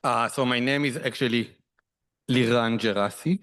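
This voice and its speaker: A middle-aged man from Israel with an Israeli accent, giving halting remarks as a panelist with many restarts and fillers. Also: mid-range voice, fairly bright tone.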